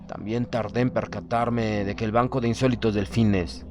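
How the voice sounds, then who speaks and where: A man narrating a literary horror audiobook in a solemn, measured, formal manner. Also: on the low side, steady tone. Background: background music.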